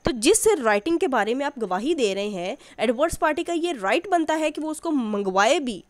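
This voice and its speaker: Female tutor for explanatory lecture: slightly high pitch, very dry recording, didactic, switches into English for terms and read-out text. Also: animated, moderate pace, no echo. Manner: didactic, mixes Hindi-Urdu with English terms, repeats check phrases. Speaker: law tutor.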